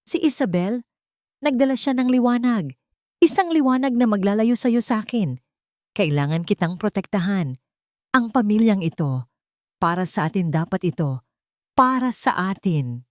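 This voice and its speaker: A woman's voice: medium pitch, expressive, normal speed, pauses often, no echo, studio quality, voice pushed up, close to an everyday voice. A woman voicing a desperate, obsessive female villain in an audio drama, pleading and impassioned. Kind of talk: audio drama character reading.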